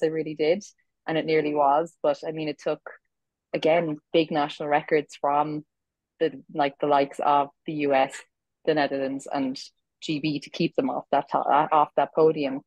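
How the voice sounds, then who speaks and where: A hoarse Irish woman in her thirties, a former sprinter, giving earnest, rambling analysis on a sports podcast. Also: sits low, a little mellow, no room sound, dull audio.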